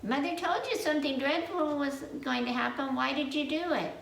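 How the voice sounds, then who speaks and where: A woman's voice: sits high, normal speed, gravelly, a bit bright, pitched above the performer's own voice. A woman voicing young ghost siblings in a children's story, reproachful and full of warnings. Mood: scolding. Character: scolding ghost brothers and sisters, young tattletales. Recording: roomy, distant mic with faint noise.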